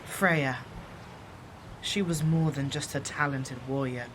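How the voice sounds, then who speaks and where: A man acts out an exiled shapeshifter for an audio drama, solemn and loyal. The speech is formal and measured, at a medium pitch. Clear background noise sits behind the voice. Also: fairly even intonation, natural voice. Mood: grave admiration.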